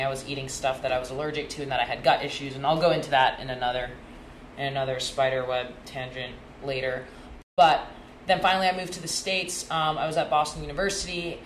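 A woman in her twenties from Hong Kong, a company founder telling her founding story on a podcast in a casual, chatty way full of ums and likes. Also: extremely low pitch. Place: sunny glass-walled room, indoors. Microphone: small desk mic on stand. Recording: echoey room, faint hiss.

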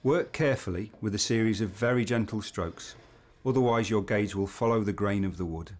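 A man giving measured, step-by-step woodworking instruction as a scripted studio voice-over. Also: on the low side. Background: music.

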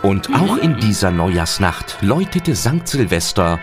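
A man's voice: sits low, very animated, unhurried. A man reading scripted storybook narration as the narrator of an audio drama. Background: music.

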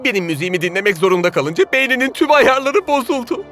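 Animated, high-voiced smug music lover. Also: male voice, quick pace, full character voice. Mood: dismayed, dramatic. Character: smug, cultured music-loving cartoon dude, melodramatic.

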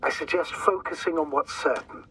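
An actor playing a measured, formal spaceship officer in a video game, talking over a radio link. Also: male voice, fairly high pitch, pushed and bright, distant mic, a little hiss or hum. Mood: measured, sceptical. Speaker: game character, commanding crewmate. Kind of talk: naturalistic video game voice acting.

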